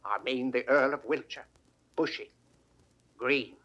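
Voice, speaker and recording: Humble grave head gardener, old shakespeare audio play, forceful voice, really slow declaimed verse. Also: male voice, mid-range voice, dull audio. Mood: grave, reflective. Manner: deliberate, declamatory verse delivery. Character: head gardener, grave, plain-spoken, humble moralizing working man. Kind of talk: 1960s classical Shakespeare audio drama acting.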